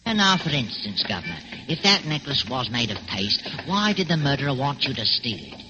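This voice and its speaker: Actor as a lively Cockney sidekick, swooping voice. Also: male voice, medium pitch, fairly quick, noisy background, natural voice. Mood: puzzled. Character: loyal, puzzled, plain-spoken Cockney sidekick man. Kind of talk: old-time radio thriller acting. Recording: muffled old radio recording with music.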